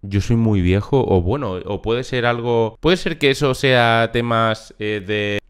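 A man in his thirties with a Spanish accent from Spain, reacting on stream with incredulous, animated commentary that jumps to loud outbursts. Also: mid-range voice, unhurried, clear, resonant tone. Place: small home studio room. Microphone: large studio mic close up, headphones on. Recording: faint music under the voice. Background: reggaeton music playing.

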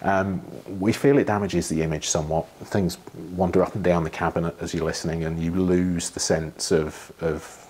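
A middle-aged man with a husky voice, giving a technical explanation in an interview, pausing thoughtfully as he phrases things. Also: sits low, fairly even intonation, a little mellow. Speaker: loudspeaker engineer being interviewed. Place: living room with wood panelling and large window.

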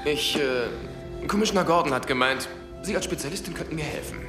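An actor playing a composed, dry-humored wealthy hero in a radio play. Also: male voice, on the high side, lively intonation, a little fast, echoey room, music in the background, close to an everyday voice. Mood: wry. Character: composed, wry male billionaire hero.

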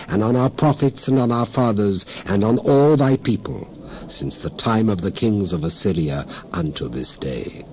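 Low-voiced man solemnly reading scripture. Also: a little mellow. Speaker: narrator of Bible readings.

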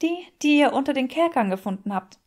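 A woman voicing an earnest, sharp-witted, bookish young woman in an audiobook, prim and mock-serious. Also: high voice, expressive, a little fast, voice pushed up. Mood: prim teasing.

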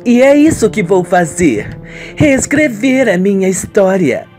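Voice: mid-range voice, sing-song, a little slow, a little mellow, soft background music. A performer voicing an arrogant, affected young rich daughter in a radio soap, haughty and emphatic. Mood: determined.

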